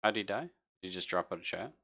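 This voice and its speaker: A man's voice: sits low, animated, fast talker, pushed and bright. A man running a tabletop game as game master in casual, easygoing chat. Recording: live online game stream.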